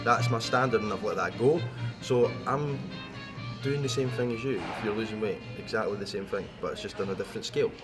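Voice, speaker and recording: A man's voice: on the low side, a little fast. A man speaking in a casual motivational style, blunt and emphatic, repeating phrases to drive points home. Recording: music behind the talk. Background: background music.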